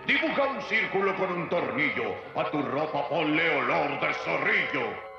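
Cartoon talking spell book, Latin American Spanish, scornful rhymes, drawn-out delivery, cavernous reverb. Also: male voice, on the high side, expressive, gravelly. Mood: commanding. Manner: speaks in taunting sing-song rhymes. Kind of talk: cartoon dub acting. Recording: cavernous echo, distant, dull sound, music behind.

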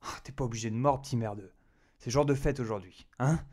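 A man performs a lone apocalypse survivor in an audio drama. His medium-pitched voice is hesitant and nervous, with a wry streak as he narrates and talks. The delivery stays everyday and natural. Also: fairly quick, a little mellow, natural voice. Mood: playful, tender. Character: hesitant, wry, lonely male apartment survivor with cat. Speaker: voice actor. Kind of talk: audio drama, first-person narration and dialogue.

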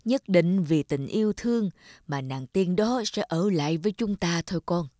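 A woman voicing a kindly, worried old peasant mother in an animated fairy tale. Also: lowish voice, moderate pace, no echo, clean studio sound, natural voice. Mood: kindly, reassuring. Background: prominent music.

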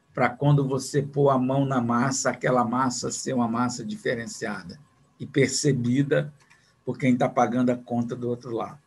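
A man's voice: mid-range voice, husky. A man in his sixties giving an online business lecture over a video call, talking in a conversational way with repeated words and rhetorical questions.

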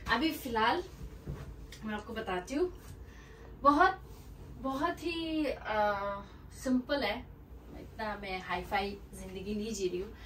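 A woman's voice: on the high side, takes it slowly, pauses often, a bit bright. A woman giving a casual, chatty home-tour vlog indoors, mixing in English words and phrases. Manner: informal Hindi mixed with English words and phrases. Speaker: vlogger giving a house tour. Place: indoors in small rooms at home. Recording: distant mic with faint room noise.

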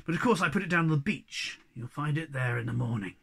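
A storyteller voicing a kindly, composed hobgoblin magician in a storybook, speaking in an unhurried, courteous way. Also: mid-range voice, expressive, creaky, a bit bright, breathy edge, full character voice. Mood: kindly, assured.

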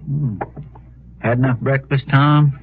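An American actor playing a deferential, good-natured deputy in a 1950s radio western, with a hesitant rural drawl. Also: male voice, mid-range voice, steady tone, slow pace, close to an everyday voice. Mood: friendly. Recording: tinny, noisy 1950s radio recording.

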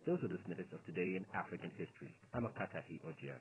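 Low-voiced male announcer on lo-fi radio. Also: animated, racing. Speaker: radio presenter and continuity announcer. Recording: dull lo-fi digital shortwave radio.

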